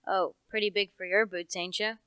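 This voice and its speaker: A young woman playing a gruff, nosy rustic village policeman in a radio play, with a folksy, officious way of talking. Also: medium pitch, fairly even intonation, fairly quick, sharp tone, dry recording, natural voice. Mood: officious, suspicious. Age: young adult. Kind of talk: radio play character acting.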